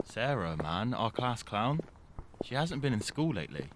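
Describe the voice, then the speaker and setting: A man's voice: medium pitch, very animated, moderate pace, natural voice. A young man acting an easygoing, chatty teenage skater boy in a scripted audio drama, with a casual conversational delivery. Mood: gossipy, amused. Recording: clearly noisy amateur recording.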